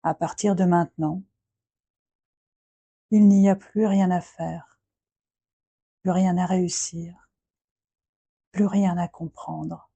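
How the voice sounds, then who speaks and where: A woman guiding a yoga nidra relaxation in a soft, breathy voice, speaking gently in short phrases with long, unhurried pauses. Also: fairly low pitch, steady tone, no room sound. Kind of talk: guided relaxation meditation, slow and soothing.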